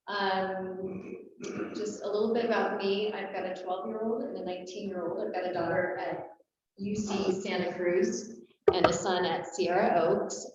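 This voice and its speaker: A woman with a slightly low voice talks briskly and with confidence. She sounds distant from the mic, in a very echoey room, with muffled call audio and faint background hiss. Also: a little mellow. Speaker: board candidate. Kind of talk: campaign statement on a video call.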